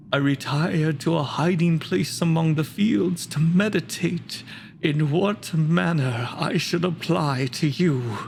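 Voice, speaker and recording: A wretched, vengeful monster delivers a theatrical monologue. The voice is high for a man and creaks with gravel, and each phrase comes slowly, set apart by heavy pauses. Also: darkish tone. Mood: brooding, pained. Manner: slow, deliberate, literary delivery with heavy pauses. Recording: faint background noise, home video-call performance.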